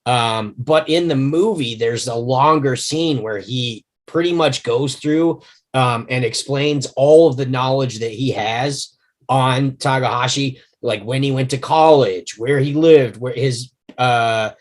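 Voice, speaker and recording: A man with an ordinary middle-pitched voice, musing aloud in a curious, thoughtful way. He fills his pauses with ums. He is joining the chat over a video call. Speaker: table read participant. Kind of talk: casual panel discussion.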